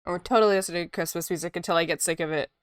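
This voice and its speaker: A woman's voice: on the low side, very fast, clean tone, no echo, clean studio sound. A woman from Ontario, a sniffly art streamer chatting casually with her viewers while she draws. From Canada, Ontario. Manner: chatty, lively, reads chat aloud.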